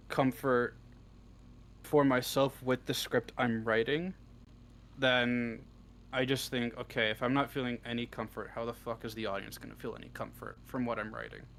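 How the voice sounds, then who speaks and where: A man's voice: mid-range voice, little pitch movement, measured pace, a bit bright. A man giving casual, unscripted answers as an interview guest, talking freely and swearing often. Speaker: interview guest, audio roleplay script writer.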